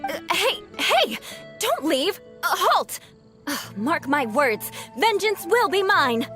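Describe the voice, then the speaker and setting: A woman's voice: very high voice, big pitch swings, takes it slowly, bright, forceful tone, faint music behind, full character voice. A woman playing a proud, formal young noblewoman knight in a video game, speaking in pompous, precise aristocratic phrases. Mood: indignant.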